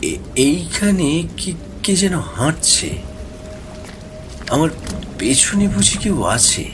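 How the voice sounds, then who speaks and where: A man's voice: fairly high pitch, sing-song, slow, over music. An actor playing a timid, hungry young man stranded at night in a horror audio drama, speaking hesitantly. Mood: nervous. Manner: hesitant, stammering. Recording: storm rain and thunder noise.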